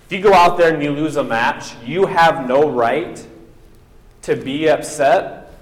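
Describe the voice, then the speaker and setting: A man's voice: on the high side, a little slow. A man preaching a sermon with emphatic delivery, acting out quoted voices as he goes. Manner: emphatic, acts out quoted voices, rising to near-shouting. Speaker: pastor. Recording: echoey hall with long reverb.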